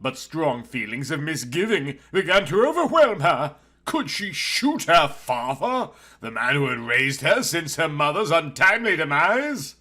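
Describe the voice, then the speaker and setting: Male narrator, higher-pitched, husky edge, highly animated delivery for dramatic prose fiction. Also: a little slow. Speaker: narrator reading a novel chapter. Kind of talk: dramatic scripted storytelling with character voices.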